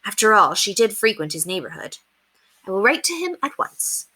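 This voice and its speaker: A woman's voice: medium pitch, big pitch swings, normal speed, bright, forceful tone, husky, heavily acted, low-quality recording. A woman voicing a kindly, earnest fifteen-year-old governess in a reassuring, determined way.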